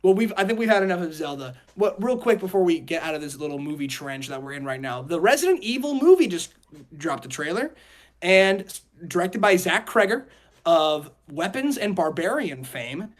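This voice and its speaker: A man with a notably high voice and lively, swinging intonation. He hosts a casual group chat, joking in slang and repeating himself for emphasis. His delivery is excitable and loose. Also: normal speed. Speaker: podcast host.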